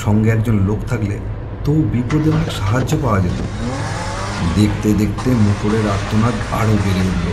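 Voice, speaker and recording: A man with a fairly low voice narrates a horror story in the first person. His delivery is theatrical and suspenseful, set off by dramatic pauses. Clear car-like ambient noise runs behind him.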